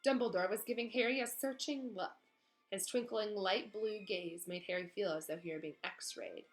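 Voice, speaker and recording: A woman reading a children's novel aloud as an expressive storyteller. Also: mid-range voice, moderate pace.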